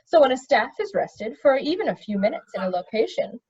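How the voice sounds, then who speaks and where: Storybook magician, male, pedantic and long-winded, read by a woman; high, swooping delivery, slightly muffled recording. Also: very animated, fairly quick, darkish tone, slightly rough, full character voice. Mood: pedantic explaining. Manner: pedantic, precise wording.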